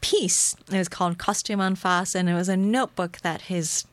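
A woman's voice: lowish voice, steady tone, normal speed, no echo. A woman whose first language is Japanese, speaking English as a poet and translator in a thoughtful, musing conversation, often laughing lightly. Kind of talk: conversational interview.

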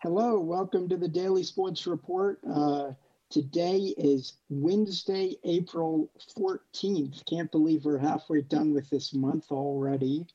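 A man's voice: a little high, steady tone, unhurried, warm tone, slightly rough. A young man hosting a campus sports report in a casual, conversational style, on dull audio. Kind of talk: casual sports show introduction.

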